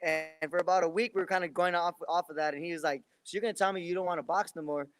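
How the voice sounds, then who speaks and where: A man's voice: high voice, fairly even intonation, quick pace. A young man in his twenties, a boxer, answering interview questions in a loose, rambling way with many restarts. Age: 20s. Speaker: professional boxer.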